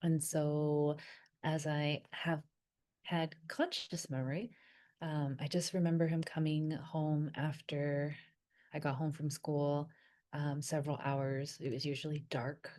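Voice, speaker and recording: A woman whose first language was Vietnamese, telling personal memories in a soft, hesitant way and pausing often to search her thoughts. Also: deep voice, little pitch movement. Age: adult. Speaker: wellness creator. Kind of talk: reflective personal storytelling.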